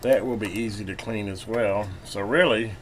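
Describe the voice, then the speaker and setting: A man's voice: lowish voice, fairly even intonation, moderate pace. A man giving casual hands-on repair commentary as a video host, thinking aloud while he works. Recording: clearly noisy hobbyist video recording.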